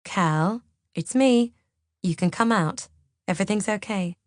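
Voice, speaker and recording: A woman voicing a gentle man who speaks softly and reassuringly, as a character in an audiobook story. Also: mid-range voice, a little slow, dry recording, studio quality, lightly acted. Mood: gently placating. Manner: soft, placating, reassuring. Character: gentle, soothing man calming an upset household.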